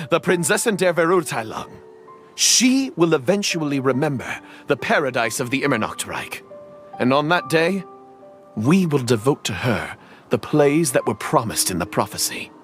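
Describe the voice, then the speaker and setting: Man voicing an earnest, animated, devoted would-be knight. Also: mid-range voice, lightly acted. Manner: solemn, reverent, theatrical.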